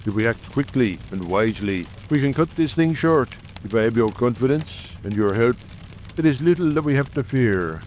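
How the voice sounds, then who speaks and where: A man acts out an earnest, shrewd brother of a secret coal-town society in an audiobook drama. He speaks formally and persuasively at a medium pitch, confident in his case. A faint noise runs behind the voice. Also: lively intonation, normal speed, a little mellow, natural voice. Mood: confident, urgent.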